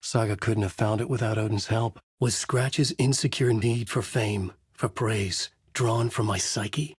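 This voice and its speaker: A brooding, haunted horror novelist for a game, speaking in a low, husky register. He delivers grim noir-style inner monologue, close and dry with no room sound around him. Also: steady tone, measured pace, dry recording. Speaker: narrator. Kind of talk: video game voice lines.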